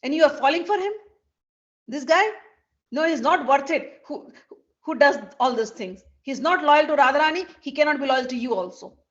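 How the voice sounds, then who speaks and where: A woman's voice: high-pitched, animated, moderate pace, a bit bright, muffled recording. A woman voicing an angry, fiercely loyal young woman who scolds a cheat with accusing questions. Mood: scolding. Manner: accusing, indignant, rhetorical questions.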